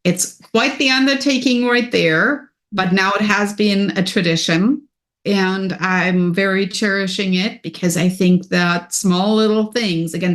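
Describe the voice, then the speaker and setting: A woman with a German accent, speaking as a coach and podcast guest in an energetic, upbeat way. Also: medium pitch. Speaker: business and wellness coach, guest. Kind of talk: podcast interview.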